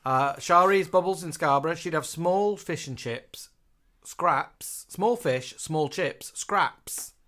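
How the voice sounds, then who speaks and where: A man's voice: on the high side, slow, sharp tone. A man from the UK co-hosting a casual live stream, chatting and reading out viewers' comments with a laugh.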